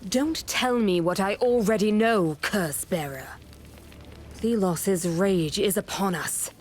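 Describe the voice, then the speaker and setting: A haughty, pious high priestess from a video game, played by a woman. She talks slowly and with measured condescension, with faint ambient noise behind her. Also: natural voice. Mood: haughty, irritated.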